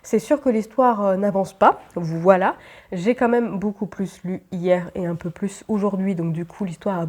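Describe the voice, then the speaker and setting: A woman's voice: mid-range voice, dark tone. A woman book vlogger with a stuffy, nasal voice from a cold, chatting casually to her viewers with many fillers. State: congested, stuffy nose from a cold. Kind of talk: casual chat to camera.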